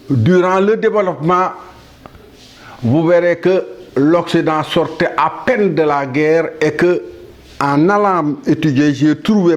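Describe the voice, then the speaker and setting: A man in his seventies from Senegal, delivering a solemn monologue to the world in a measured, halting way with pauses and restarts. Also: a little high. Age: 70s. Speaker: speaker addressing the world.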